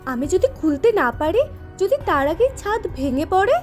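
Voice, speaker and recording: A devoted young girl in an audio drama. The voice is extremely high and very animated, with a childlike, trusting eagerness. Soft music runs underneath. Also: normal speed. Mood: frightened, pleading. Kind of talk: Bengali audio story acting.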